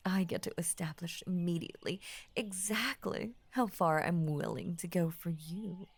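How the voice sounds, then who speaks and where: A woman voicing an ancient, possessive lamia in intimate roleplay audio, tender and formal, with a breathy husky voice that often drops into a whisper. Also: on the low side, no room sound. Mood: calm, sinister devotion. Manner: slow, tender, formal, hushed obsessive devotion. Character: ancient possessive lamia, lovestruck, devoted and murderous.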